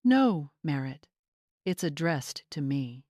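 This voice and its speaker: A woman's voice: low-pitched, very animated, takes it slowly, dark tone, no echo, clean studio sound, exaggerated voice. A woman voicing an anxious, cowed groom in an audiobook, hesitant and strained. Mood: defiant.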